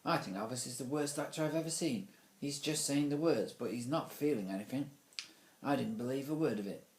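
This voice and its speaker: A man's voice: mid-range voice, brisk, roomy sound, voice pushed up, close to an everyday voice. A man voicing a blunt, critical schoolboy with a matter-of-fact, fault-finding manner in a storybook.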